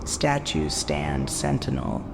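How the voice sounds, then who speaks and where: A man giving atmospheric, scripted gothic narration in a measured, dramatic, solemn manner. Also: a bass voice, sing-song, creaky, background noise. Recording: long reverb, loud music behind.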